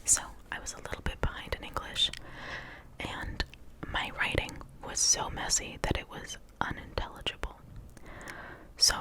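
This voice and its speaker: A young woman whose first language was Portuguese, telling a rambling, self-mocking story in a close-miked ASMR whisper. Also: poor audio. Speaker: ASMR creator.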